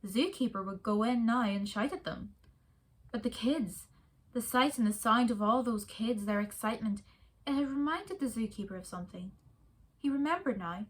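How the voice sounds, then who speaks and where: A woman reading a children's story aloud in a soft, expressive way. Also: on the high side, moderate pace.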